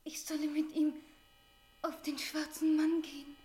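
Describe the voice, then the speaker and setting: A woman's voice: high voice, monotone, a little slow, mellow. An actress playing a feverish, spellbound village girl in an urgent radio drama performance. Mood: entranced, agitated. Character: feverish, spellbound village girl hearing a mute man speak. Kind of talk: German radio drama acting.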